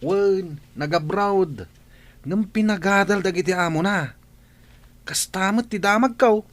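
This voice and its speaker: A man playing a frank, preachy buddy in a radio drama, with an animated, admonishing manner. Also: high voice, measured pace. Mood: probing, animated. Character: frank, preachy male buddy giving moral advice.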